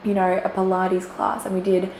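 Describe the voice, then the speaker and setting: A young woman co-hosting a casual chat, her voice croaky as she talks chattily with lots of fillers and giggles. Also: mid-range voice, monotone, moderate pace, a little mellow. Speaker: podcast co-host. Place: quiet room, shared mic. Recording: echoey room, faint background noise.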